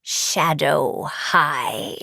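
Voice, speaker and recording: A woman voicing an ancient, stern old sorceress teacher in a storybook, with a dry, raspy, drawn-out, scornful delivery. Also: fairly low pitch, sing-song, fairly bright tone, dry recording, full character voice. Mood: dismissive.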